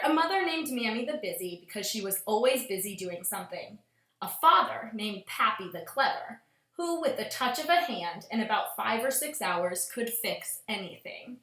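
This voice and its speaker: Bright-voiced woman reading to children, roomy sound. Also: on the high side. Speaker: schoolteacher reading aloud to pupils. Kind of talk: children's storybook read-aloud.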